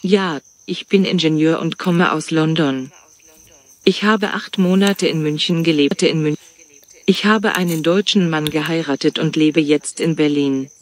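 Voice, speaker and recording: A man reading his lines in a scripted practice dialogue in a plain, steady way. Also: on the high side, measured pace.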